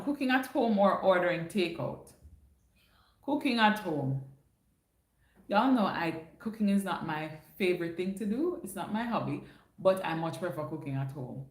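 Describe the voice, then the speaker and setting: Chatty Jamaican woman, roomy sound. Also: lowish voice. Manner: chatty, slips into patois phrasing. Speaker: livestream host answering chat. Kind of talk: casual livestream Q&A. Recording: roomy echo.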